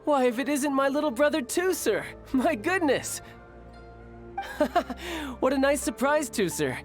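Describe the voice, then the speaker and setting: A lively, cocky young game warrior. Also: male voice, very high voice, measured pace, faint music behind, full character voice. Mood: delighted. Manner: smooth, playful, theatrical formality. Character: cocky, affectionate young male warrior and doting big brother. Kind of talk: video game voice acting.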